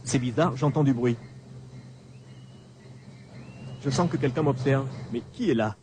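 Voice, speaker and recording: A man's voice: mid-range voice, brisk, lightly acted. An actor playing a frightened man hunted by an undead creature in a radio drama, anxious, stammering and repeating himself. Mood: uneasy, alert. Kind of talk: radio horror drama acting. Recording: clearly noisy radio drama track.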